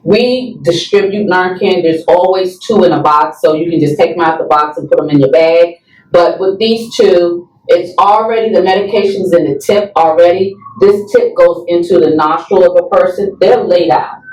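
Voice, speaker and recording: A woman with a slightly low voice explains things like an educator in conversation. She checks in with her listener often. The sound is roomy and set back from the mic. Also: normal speed, a little mellow. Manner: checks in often with right and okay. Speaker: guest expert in substance use and overdose prevention education. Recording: roomy echo, distant from the mic.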